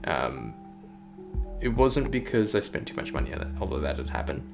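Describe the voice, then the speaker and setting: A man's voice: extremely low pitch, very animated, normal speed, gravelly. A man chatting casually on a livestream while he works, rambling and thinking aloud as a game developer. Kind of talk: casual chat while working on stream. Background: music playing behind the voice.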